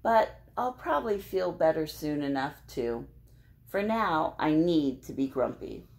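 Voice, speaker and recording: A grumpy male monkey from a picture book, acted out by a woman. He protests in a glum, defensive way, dragging out his words with big swings in pitch at a slow pace. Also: expressive, gravelly, a little mellow, full character voice. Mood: glum resigned.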